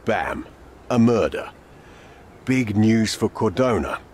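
An actor playing a gruff, cynical older police inspector in a video game, blunt, irritable and sardonic. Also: male voice, on the low side, lively intonation, slow pace, a little mellow, a little hiss or hum. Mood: blunt, matter-of-fact. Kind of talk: video game cutscene acting.

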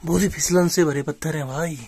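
A man's voice: on the high side, steady tone, a little fast, darkish tone, a little hiss or hum. A young man casually narrating a travel vlog outdoors and in a small cave, talking to viewers as friends and exclaiming at the sights. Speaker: travel vlogger.

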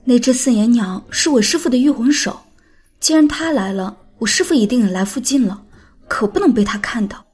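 A woman's voice: fairly high pitch, lively intonation, fairly quick, a little mellow, slightly rough. An actress playing a spirited, blunt but kind-hearted young woman in an audio drama, playfully scolding. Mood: anxious, candid. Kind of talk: audio drama character acting.

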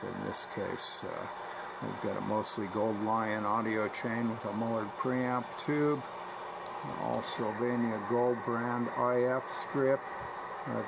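A man's voice: medium pitch, creaky, very noisy. An American man with a folksy, slangy way of talking, giving a casual hobbyist demonstration and chatting on CB radio. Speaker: radio repair technician and video host. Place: indoor radio workbench.

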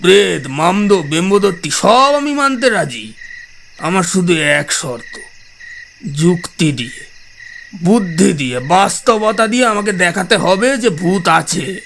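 A man's voice: high-pitched, vocal fry, a bit bright, a little hiss or hum, full character voice. A man playing an irascible, skeptical headmaster in an audio drama, blustering and scolding with sarcasm. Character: irascible skeptical headmaster, scornful of ghost believers.

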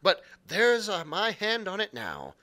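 Eager young sailor, read by a man: earnest and easily swayed. The voice is bright, sitting slightly high, and moves about in pitch. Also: wildly swooping pitch, measured pace, exaggerated voice.

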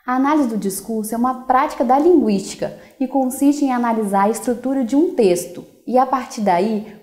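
Measured woman lecturer in an echoey studio. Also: on the high side, dark tone. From Brazil. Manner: clear, measured, explanatory delivery. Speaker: university lecturer. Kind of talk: scripted course introduction.

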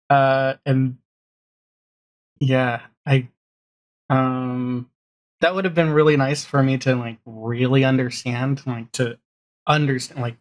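A middle-aged man chatting casually as an interview guest, informal and jokey, often interrupting himself as he explains, on a video call from home. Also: mid-range voice. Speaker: podcast guest, audio drama showrunner. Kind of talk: casual interview chat about production.

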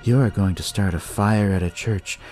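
A man voicing a smug young devil-cult leader in an audio story, with drawn-out, ceremonious pronouncements. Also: on the low side, a little mellow, natural voice. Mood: ominous, grandiose. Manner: slow, drawn-out, ritual pronouncements.

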